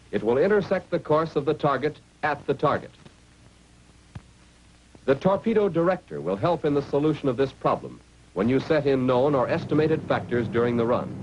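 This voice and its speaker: A man's voice: mid-range voice, a little mellow, husky. An American man narrating a scripted 1940s military training film, instructing the listener directly on an old film soundtrack. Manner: instructional, direct second-person address. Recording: dull, faintly noisy 1940s film soundtrack.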